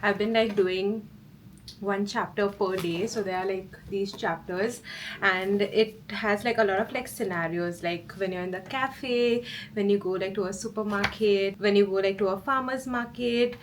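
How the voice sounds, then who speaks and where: A woman's voice: medium pitch, fairly even intonation, normal speed. Chatty female vlogger, noisy room. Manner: informal, chatty, frequent "like" as filler. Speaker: lifestyle vlogger. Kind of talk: casual vlog chat.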